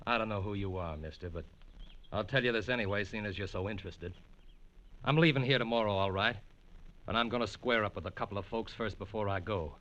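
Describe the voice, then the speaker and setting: An actor playing a laconic, vengeful cowboy drifter, plain-spoken and menacing, in a 1940s radio drama. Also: male voice, lowish voice, a little fast, a bit bright, lo-fi sound, natural voice. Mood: bitter, defiant. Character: surly, laconic, vengeful Western drifter on the run.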